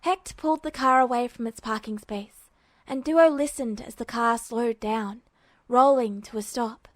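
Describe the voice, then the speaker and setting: A woman's voice: on the high side, measured pace, no room sound. A woman reading a scripted piece of fiction aloud as an amateur audiobook narrator.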